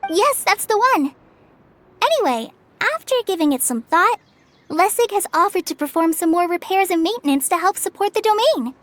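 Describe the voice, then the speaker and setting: A woman's voice: extremely high pitch, big pitch swings, moderate pace, no echo. A woman voicing a sweet, eager young girl in an anime game, bubbly and earnest. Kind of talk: anime game voice acting, scripted dialogue.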